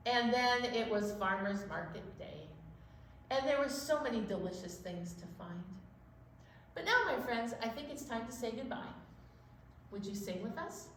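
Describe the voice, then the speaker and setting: A woman presenting a children's storytime in a playful, animated way, moving between chatty talk and sing-along. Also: a little high, normal speed. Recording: very echoey room, distant mic, faint noise.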